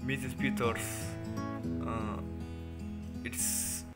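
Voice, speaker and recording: A man's voice: mid-range voice, flat delivery, drawn-out words. A young man playing a plain-spoken county lawman in an amateur stage drama. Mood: matter-of-fact. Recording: distant phone recording, music laid over.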